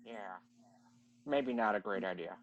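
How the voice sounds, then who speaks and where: A woman's voice: rumbling low, a little slow. A woman playing an overeager bird boss who stutters on b words. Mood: sheepish. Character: stammering, overeager bird boss pitching a scheme. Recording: dull, muffled online-call audio.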